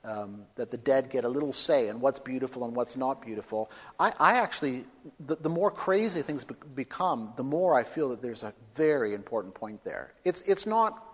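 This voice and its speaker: A man giving a public lecture and taking questions, speaking emphatically and building to loud, forceful peaks. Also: medium pitch, expressive, normal speed. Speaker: speaker at a talk. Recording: reverberant hall.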